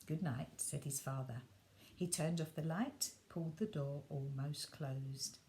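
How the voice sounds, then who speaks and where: A woman reading a children's bedtime story aloud, gentle and expressive, sometimes dropping her voice soft. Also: low voice, unhurried, a little hiss or hum.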